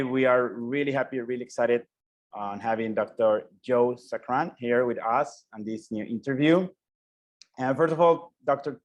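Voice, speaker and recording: Earnest man asking halting questions. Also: medium pitch. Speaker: interview host. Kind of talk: interview questions.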